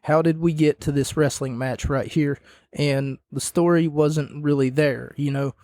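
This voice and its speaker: A man hosting a podcast, casually recapping wrestling matches in a rambling way with sarcastic asides, his voice starting to go hoarse. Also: a little high, fairly even intonation, unhurried, darkish tone, no echo.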